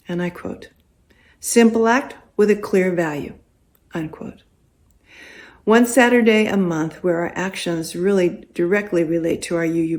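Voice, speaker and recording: Older American woman with a lowish voice. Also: darkish tone. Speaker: congregation volunteer giving testimony. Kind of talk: prepared personal testimony, read aloud.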